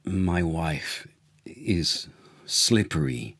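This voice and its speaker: Man playing a slow, menacing, jealous king. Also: deep voice, animated, a bit bright, dry recording, studio quality, heavily acted. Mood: grim. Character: jealous, furious king turning cruel and menacing.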